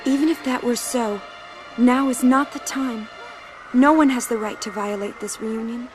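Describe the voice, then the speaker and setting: A woman playing a gentle, grave young High Summoner in a fantasy audio drama, speaking in a measured, earnest way. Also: faint music behind, close to an everyday voice. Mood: firm, sorrowful.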